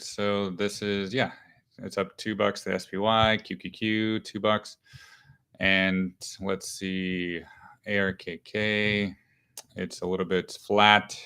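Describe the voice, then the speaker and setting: A man hosting a live market show, talking casually through stock charts with a smooth voice and many fillers and restarts. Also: on the low side, a bit bright. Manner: loose, thinking aloud, many fillers and restarts.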